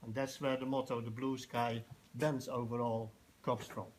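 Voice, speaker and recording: Older dutch guy doing a casual talk, kinda halting and anecdotal, some background noise. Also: mid-range voice, level tone. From Netherlands. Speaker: festival organiser giving a presentation. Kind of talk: informal public talk.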